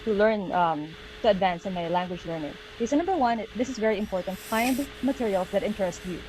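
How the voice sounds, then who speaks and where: A Filipino woman in her thirties, a native Tagalog speaker, talks through her slides on a video call. Her voice sits at a middle pitch for a woman. She keeps a friendly, conversational tone, with frequent "uh" fillers and "okay?" check-ins. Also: fast talker, noisy background. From Philippines. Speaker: guest speaker. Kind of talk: online presentation.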